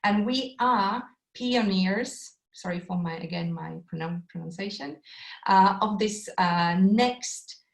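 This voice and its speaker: A woman's voice: mid-range voice, takes it slowly, vocal fry, echoey room. A woman with a Latvian accent giving an enthusiastic online sales presentation over a video call, with frequent um and uh fillers. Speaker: webinar presenter.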